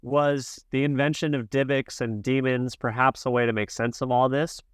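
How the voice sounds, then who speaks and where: A man co-hosting an interview, reading out a prepared question in a measured way. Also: mid-range voice, flat delivery, smooth, dry recording, studio quality. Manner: measured, scripted delivery.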